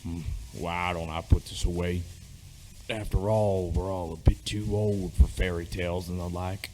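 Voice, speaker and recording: A man's voice: low voice, fairly even intonation, measured pace, mellow, slight background noise. A man playing a reluctant middle-aged sergeant major in an amateur radio play, speaking hesitantly in old-fashioned phrases. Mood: guarded, ominous.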